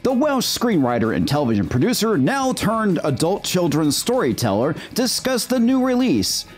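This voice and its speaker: A man's voice: sits high, a little mellow. A man delivering scripted critical commentary in a sarcastic, mocking manner. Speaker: video host, TV critic.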